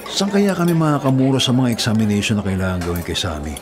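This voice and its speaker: An actor playing a caring, burdened young delivery rider in a Filipino radio drama, with an earnest, conversational delivery and a Filipino accent. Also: male voice, medium pitch, very animated, fast talker, a crowd in the background, close to an everyday voice. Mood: worried. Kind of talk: naturalistic radio drama acting. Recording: music bed behind the voice.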